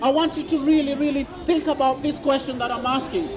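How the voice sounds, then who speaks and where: High-voiced street preacher in a crowd. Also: male voice, steady tone, brisk. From Kenya. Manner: repeats questions to passers-by, quotes scripture. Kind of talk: open-air street preaching. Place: outdoors in a busy city square. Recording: lo-fi outdoor recording with crowd noise.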